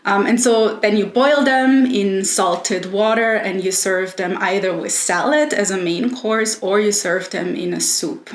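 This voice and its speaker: Middle-aged woman chatting about family food, lots of ums, echoey room, faint hiss. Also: mid-range voice. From Austria. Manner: thoughtful, with frequent "um" pauses. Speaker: professor. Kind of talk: personal food storytelling. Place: her home.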